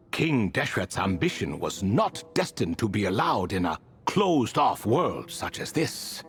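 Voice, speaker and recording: An actor playing an ancient, wry and refined spirit who tells stories in a proud, wistful way in a video game. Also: male voice, mid-range voice, a bit bright. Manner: theatrical, refined, leisurely storytelling. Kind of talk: video game character dialogue.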